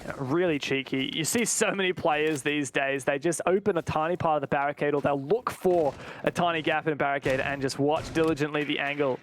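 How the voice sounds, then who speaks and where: A man commentating an esports match live, play by play, in an excitable manner. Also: on the high side, brisk, slight background noise. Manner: excitable, exclaiming at big plays.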